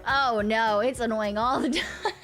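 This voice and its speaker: A woman's voice: fairly high pitch, a little slow, a bit bright, faint music behind. A young woman streamer chatting casually, rambling with lots of hums, fillers, giggles and the odd swear word. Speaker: VTuber streamer.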